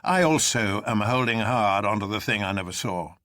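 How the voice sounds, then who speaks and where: A man's voice: fairly low pitch, expressive, normal speed, bright, forceful tone, dry recording, studio quality. A man voicing a despairing, unnerved professor in a dramatised novel reading, speaking with bleak deliberation. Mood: grave.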